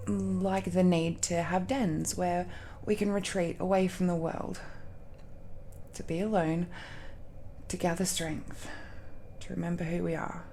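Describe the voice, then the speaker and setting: A woman playing an affectionate, secretive dragon-blooded girlfriend in an intimate romantic audio roleplay, hesitant and tender, pausing often. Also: on the low side, gravelly, dark tone, slight background noise.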